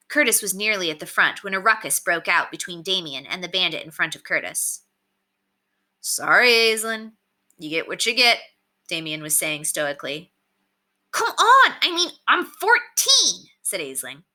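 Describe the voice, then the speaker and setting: A woman reading a children's novel aloud as scripted narration, in an expressive storytelling manner. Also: on the low side. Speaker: storybook reader.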